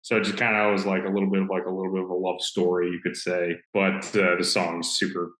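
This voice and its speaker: A deep-voiced young man from Maine, a metal musician, talks in a loose, rambling way, pausing and restarting as he goes. His voice carries a noticeable room echo, as if he were on a video call from an untreated room. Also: completely flat delivery, a bit bright. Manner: easygoing, rambling, with frequent pauses and restarts. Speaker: death metal musician, interview guest. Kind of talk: casual interview answers. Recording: roomy echo.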